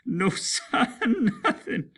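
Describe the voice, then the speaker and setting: Man acting Pickard, shrill unhinged spaceman. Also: huge pitch swings, drawn-out words, bright, forceful tone, husky, heavily acted. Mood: hysterical, despairing.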